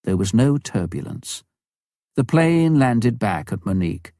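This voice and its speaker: A man reading a missionary story as an audiobook narrator, with a measured storytelling delivery. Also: medium pitch, very animated, warm tone, no room sound, studio quality.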